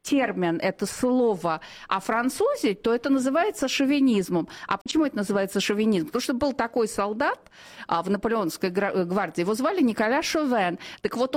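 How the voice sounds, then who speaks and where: A middle-aged woman from Russia, a journalist giving heated, opinionated answers on a radio show, with frequent fillers and self-corrections. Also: a little high.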